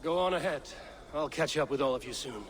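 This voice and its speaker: An actor playing a determined, commanding Viking warrior in a video game, speaking bluntly and tersely. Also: male voice, a little high, expressive, brisk, a little hiss or hum, natural voice. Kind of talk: video game cutscene acting.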